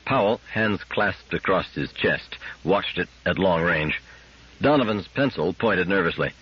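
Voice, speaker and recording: A male audiobook narrator delivers dramatic scripted fiction in a lowish, husky voice. His pitch moves widely as he tells the story. The recording sounds somewhat dull. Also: lively intonation, a little slow.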